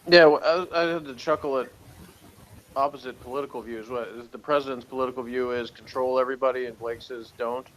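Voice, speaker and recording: Man, mid-pitched voice, casual review chat, co-host, thinking aloud, dry humor. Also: steady tone, a little fast, fairly bright tone, low-quality recording. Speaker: co-host giving review opinions.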